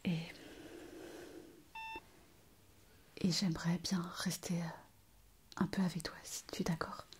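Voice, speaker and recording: A woman playing a sweet, excitable young intern in an ASMR roleplay, in a breathy whisper with gentle delight. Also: on the low side, normal speed, close to an everyday voice. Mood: tender, happy. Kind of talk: French ASMR roleplay acting.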